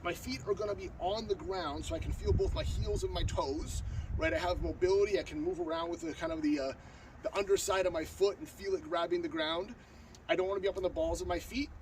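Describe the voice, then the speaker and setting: A man with a stuffy, blocked-up nose talks quickly and earnestly, with lots of fillers and restarts, in a fairly high voice. He is recorded outdoors on a lawn by a camera a few steps away. Plenty of outdoor noise sits behind him. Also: fairly even intonation. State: stuffed up from a cold. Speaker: sword-fighting instructor. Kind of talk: casual outdoor tutorial. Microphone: far camera on a tripod. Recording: distant mic with outdoor noise.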